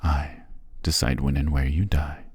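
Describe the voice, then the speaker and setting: Man playing a very deep, gravelly vampire patriarch. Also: level tone, dry recording, studio quality, exaggerated voice. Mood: stern, possessive. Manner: formal, archaic, commanding, measured. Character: ancient, imperious, possessive male vampire coven patriarch. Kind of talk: ASMR fantasy roleplay.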